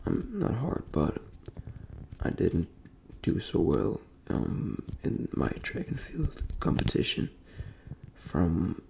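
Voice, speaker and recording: A young man from Denmark speaking soft, breathy ASMR in a gentle near-whisper, repeating phrases as he talks in a personal, motivational way. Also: sits low, slight background noise. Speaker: ASMR creator and host.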